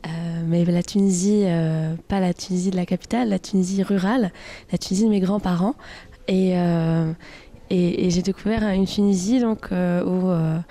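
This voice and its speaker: Young woman, mellow and hesitant. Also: lowish voice, fairly even intonation, moderate pace. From France. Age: 20s. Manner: hesitant, frequent fillers. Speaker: guest, association president. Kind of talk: radio interview answers.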